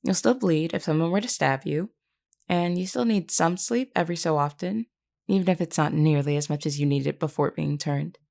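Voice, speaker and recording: A woman playing a gentle, courteous vampire queen in an intimate audio roleplay, speaking politely and reassuringly. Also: low-pitched, a little fast, vocal fry. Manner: polite, soft-spoken reassurance with hesitant asides.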